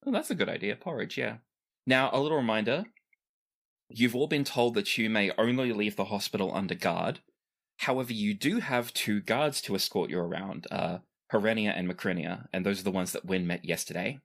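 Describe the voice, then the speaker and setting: A man's voice: on the low side, fairly quick, vocal fry, fairly bright tone. A man narrating a tabletop game as game master, conversational and explanatory with chatty asides. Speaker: game master of a tabletop role-playing podcast.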